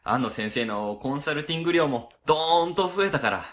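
A man playing a mild, chatty office colleague in a radio drama, explaining things in soft phrasing. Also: on the high side, expressive, a little fast. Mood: wry, gossipy.

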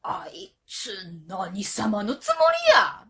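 A woman's voice: medium pitch, wildly swooping pitch, takes it slowly, vocal fry, noisy background, full character voice. A woman playing a blunt, hot-tempered middle-aged auntie with a Kansai accent in a radio drama, talking in a brash, scolding way. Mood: furious. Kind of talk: web radio drama acting.

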